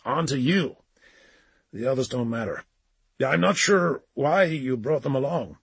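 A man's voice: medium pitch, lively intonation, unhurried, gravelly, breathy edge, no room sound, pitched above the performer's own voice, exaggerated voice. A man voicing a breezy, blunt and persuasive male psychic agent in an audiobook. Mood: urgent, blunt. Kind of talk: audiobook character dialogue.

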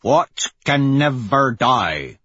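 A man voicing a gruff, impatient, foul-mouthed squad mate in an audiobook, with curt, growled lines. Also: medium pitch, sing-song, extremely slow, a bit bright, exaggerated voice. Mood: growling defiance. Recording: muffled, roomy audio.

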